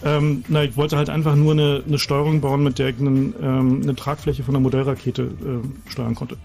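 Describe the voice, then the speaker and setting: A man's voice: medium pitch, little pitch movement, normal speed, creaky, dark tone, soft background music. A man from the audience discussing model rocket experiments, hesitant with many fillers. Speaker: audience member asking questions. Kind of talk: casual technical discussion.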